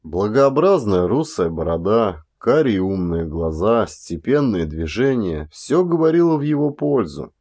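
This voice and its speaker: A man with a fairly low voice narrates literary fiction. His delivery is measured and expressive, like an actor's dramatic audiobook reading.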